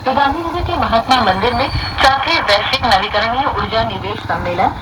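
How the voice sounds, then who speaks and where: A woman's voice: on the high side, a little fast. A woman reading formal radio news, heard through a shortwave radio. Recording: noisy shortwave radio playback.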